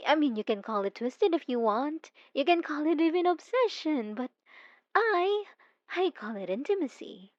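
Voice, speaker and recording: A woman playing an obsessive, possessive young stalker in an ASMR roleplay, talking softly and intimately with teasing giggles. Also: sits high, very animated, moderate pace, no room sound, highs cut off. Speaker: narrator speaking to the listener.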